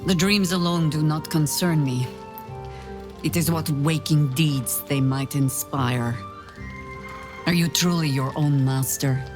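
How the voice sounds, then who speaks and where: An actor as solemn fantasy companion, protective, earnest, measured counsel, mid-pitched, faint music behind. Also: lightly acted. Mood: probing, grave. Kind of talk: dramatic video game dialogue.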